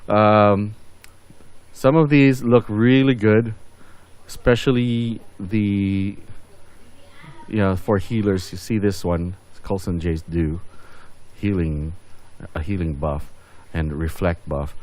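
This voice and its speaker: Low-voiced man casually narrating gameplay. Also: steady tone, slow pace, long pauses, a little mellow. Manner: rambling, thinking aloud. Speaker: gaming video host.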